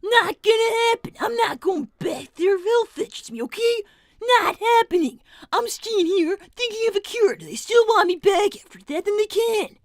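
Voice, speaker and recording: A woman's voice: very high voice, lively intonation, moderate pace, dry recording, studio quality. A woman voicing an exasperated young fox inventor in a casual, argumentative improvised livestream roleplay. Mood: indignant.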